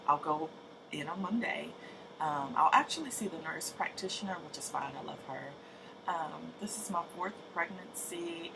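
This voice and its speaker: A woman's voice: sits low, creaky, noisy background. A woman in her late thirties giving a casual, hesitant personal update to camera, full of ums and restarts, sounding tired. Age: 30s. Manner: soft-spoken, hesitant, many ums and restarts. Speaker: vlogger.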